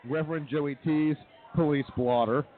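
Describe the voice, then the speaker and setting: A man's voice: a little high, fairly even intonation, moderate pace, phone-quality sound, faint music behind. A man hosting a comedy radio show, introducing segments and chiming in with casual banter.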